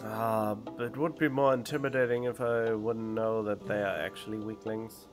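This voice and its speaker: A man's voice: on the low side, fairly even intonation, normal speed. A man giving casual, drily joking commentary as a game streamer, close on the microphone. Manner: dry, joking sarcasm. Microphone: close studio microphone. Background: game music.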